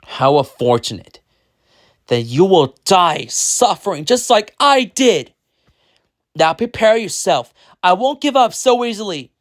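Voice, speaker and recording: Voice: mid-range voice, normal speed, hardly any pauses, a little mellow, close to an everyday voice. A storyteller voicing a bitter, menacing bully villain in a theatrical manner.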